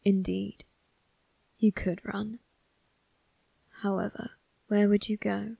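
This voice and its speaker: Casting: woman as menacing male vampire crime boss for ASMR drama. Very slow, husky and gravelly, condescending, deliberate, close dry sound. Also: mid-range voice, a little mellow, no room sound, full character voice. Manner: slow, deliberate, measured taunting. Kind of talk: ASMR roleplay monologue addressed to the listener.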